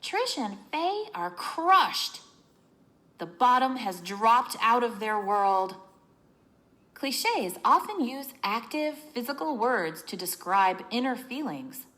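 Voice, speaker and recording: A woman reading a children's book aloud in an expressive, teacherly way, explaining as she narrates. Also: a little high, slow pace.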